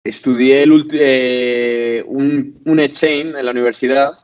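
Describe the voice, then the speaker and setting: A man's voice: medium pitch, steady tone, a little slow, darkish tone, smooth, muffled recording. A man in his late twenties from Madrid with a Spain Spanish accent, a podcast guest talking casually with many fillers and restarts. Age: 20s. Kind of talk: casual interview chat.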